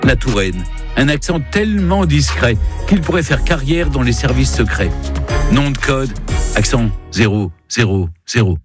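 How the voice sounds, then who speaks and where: A man's voice: mid-range voice, lively intonation, moderate pace, over music. A man presenting a playful, scripted radio chronicle on the history of French. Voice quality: playful, wry delivery.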